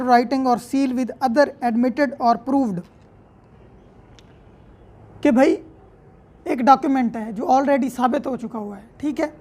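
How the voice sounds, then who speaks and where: High-pitched Pakistani woman lecturing. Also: darkish tone. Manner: didactic, frequent check-in tags. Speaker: law lecturer. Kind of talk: explanatory lecture, reading out and paraphrasing legal text.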